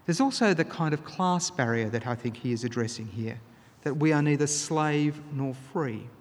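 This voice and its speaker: A man preaching a sermon, earnest and emphatic, pressing his key points louder. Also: on the high side, moderate pace, big-room sound. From Australia.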